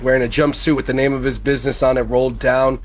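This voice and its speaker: A man's voice: medium pitch, little pitch movement, fast, a little hiss or hum. A man playing a tabletop role-playing game, chatting and role-playing in a playful, informal way. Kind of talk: casual chat and role-play at a game.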